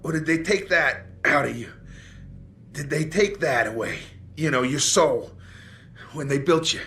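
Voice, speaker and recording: A man playing a wounded, bitter investigator in a video game drama, halting and sardonic as he struggles through pain. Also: fairly high pitch, lively intonation, bright, forceful tone, slight background noise, exaggerated voice. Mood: bitter, woozy, mocking. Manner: halting, sardonic, repeating himself. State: in pain, slurring and faltering. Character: gravely wounded, bitter, foul-mouthed older investigator on morphine.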